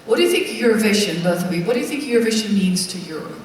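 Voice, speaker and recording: Brisk moderator in an echoey hall. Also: mid-range voice, expressive, a little hiss or hum. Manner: brisk and polite. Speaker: press conference moderator. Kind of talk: moderating a press conference. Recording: echoey hall, far from the mic.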